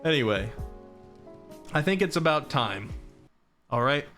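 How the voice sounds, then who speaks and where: Young man streamer, voice going hoarse. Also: fairly high pitch, expressive, unhurried, fairly bright tone, faint music behind. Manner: rambling and self-deprecating, reading chat and laughing. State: voice going hoarse from long talking. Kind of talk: casual live-stream chat.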